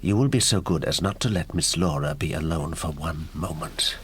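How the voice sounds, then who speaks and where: Deep-voiced, grave old doctor. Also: male voice, fairly bright tone, a little hiss or hum. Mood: grave, firm. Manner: measured, formal, fatherly. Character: grave, weary, kindly old country doctor. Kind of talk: gothic period audio drama acting.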